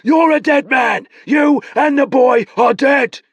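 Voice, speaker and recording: A man's voice: very high voice, slow pace, husky, dry recording, raised voice, voice pushed up, full character voice. A man doing a bellowing, murderous enemy. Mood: threatening. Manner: bellowing, threatening. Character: ruthless male enemy shouting threats across the water.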